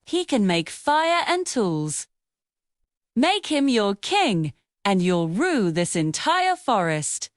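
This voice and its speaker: A woman voicing a fierce, hateful male tiger villain in a children's storybook cartoon, speaking in a low growl and hiss. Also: big pitch swings, takes it slowly, no echo, clean studio sound. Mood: sly.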